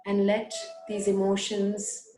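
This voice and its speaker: A woman guiding a breathing and letting-go meditation, giving slow, soothing instructions with long pauses. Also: mid-range voice, monotone, warm tone, soft background music. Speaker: meditation guide. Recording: echoey room.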